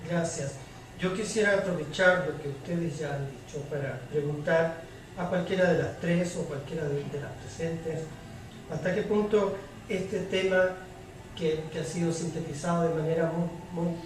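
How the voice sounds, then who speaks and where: Male debate moderator, high voice, searching for words, distant from the mic. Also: steady tone, moderate pace. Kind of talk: spontaneous moderating and questioning. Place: public debate room, picked up from afar. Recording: distant, very echoey room, faint noise.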